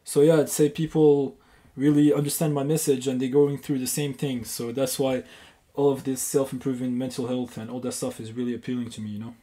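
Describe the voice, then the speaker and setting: Brief: male caller from Morocco, French native speaker, mellow warm tone, confident and explanatory. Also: medium pitch, flat delivery, a little fast. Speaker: guest caller. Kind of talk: casual phone interview.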